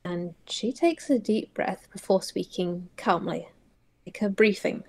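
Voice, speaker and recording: A woman narrating roleplay prose as a player, reading dramatically in halting phrases. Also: mid-range voice, darkish tone.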